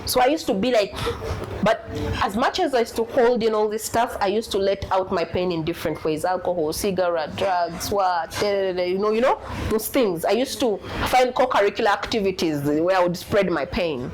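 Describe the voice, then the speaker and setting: A woman's voice: mid-range voice, normal speed, slight background noise, poor audio. A woman with a strong voice, a guest in an interview, talking in an emphatic, heartfelt way and repeating words when moved. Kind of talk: candid conversational interview.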